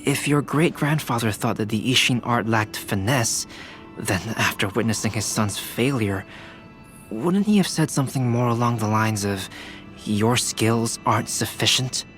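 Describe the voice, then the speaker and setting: Man as fantasy game investigator, calm, analytical, deliberate delivery, soft music in background. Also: mid-range voice, natural voice. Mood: probing. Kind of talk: scripted video game voice acting.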